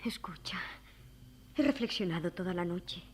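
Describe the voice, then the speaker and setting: An actress playing a cautious, frightened older sister in a 1960s radio drama, hushed, earnest and pleading. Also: female voice, fairly low pitch, expressive, moderate pace, natural voice. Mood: anxious reasoning.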